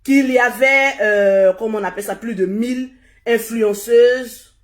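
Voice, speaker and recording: A woman hosting a casual live stream, hoarse and husky from a cold, repeating herself as she urges viewers to share. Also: fairly high pitch, a little slow. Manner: repetitive, urging viewers to share. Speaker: livestream host.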